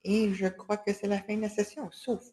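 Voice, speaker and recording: A woman's voice: mid-range voice, flat delivery, normal speed, dark tone. A woman hosting an online workshop over a video call, speaking with frequent fillers and restarts. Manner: hesitant, many fillers and restarts. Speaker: webinar host for a women's enterprise organization. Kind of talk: closing remarks of an online workshop.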